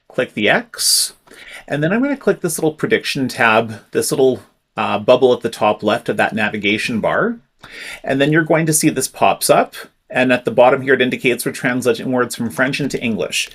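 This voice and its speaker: A man presenting a step-by-step software tutorial, explaining each click in a clear, instructive way. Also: mid-range voice. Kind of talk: screen-recorded software tutorial.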